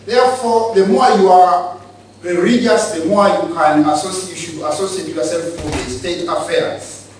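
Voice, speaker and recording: High-pitched man from Uganda, echoey hall. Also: steady tone, slight background noise. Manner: measured, with halting restarts. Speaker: speaker on church and state. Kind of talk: lecture-style talk. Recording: echoey room from far off.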